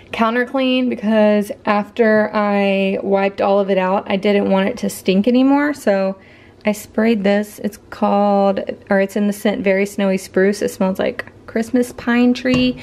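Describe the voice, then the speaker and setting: A woman's voice: medium pitch, darkish tone. Young woman, rambling home vlogger, close handheld camera in her kitchen. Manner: chatty, rambling, self-correcting. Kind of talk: casual chat to camera. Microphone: handheld camera close to face.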